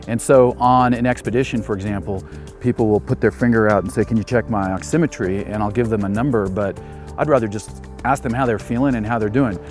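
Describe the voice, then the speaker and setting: A man's voice: fairly low pitch, steady tone, a little fast, darkish tone, faint music behind. A man speaking as an aviation expert, explaining informally from his own experience.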